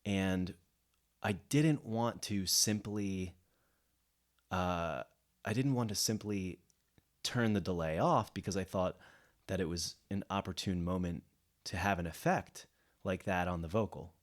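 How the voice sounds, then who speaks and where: Guy with a deep voice doing a mixing tutorial, kinda hesitant, rewording stuff as he goes. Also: a little slow, pauses often. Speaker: music producer giving a mixing tutorial. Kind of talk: explanatory software tutorial, unscripted. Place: indoors, voice-over of a screen recording.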